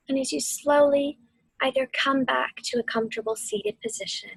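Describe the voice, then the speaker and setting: A woman mindfulness instructor speaks very slowly in a soft, airy voice that often sinks toward a whisper. Her delivery is soothing and deliberate, as in a guided meditation for children. The sound is close and dry. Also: high-pitched, creaky, breathy edge, no room sound, low-quality recording. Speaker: mindfulness instructor and host of a weekly video series.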